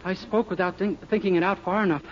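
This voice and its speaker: A man plays a guileless, polite young clerk in an old radio sitcom. His voice is high for a man, eager and deferential with gee-whiz innocence. The sound is muffled under heavy noise. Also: fairly even intonation, fast, natural voice. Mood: meek, sheepish. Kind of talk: 1940s radio sitcom acting. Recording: muffled, very noisy 1940s radio recording.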